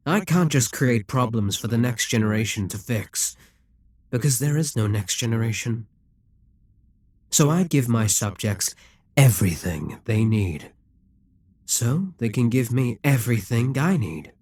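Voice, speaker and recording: Male actor for a possessive demon king, audio roleplay, mid-pitched husky voice, slow measured persuasion. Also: dry recording. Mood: cold, scheming. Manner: slow, measured, persuasive, with quiet whispered asides.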